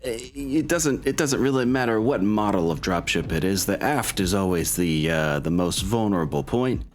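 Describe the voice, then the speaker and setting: A man's voice: fairly low pitch, expressive, normal speed, a little hiss or hum, natural voice. A man voicing a supportive, earnest military lieutenant in a tabletop game, speaking in a hesitant, encouraging way. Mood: earnest, cautioning. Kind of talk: tabletop roleplay dialogue.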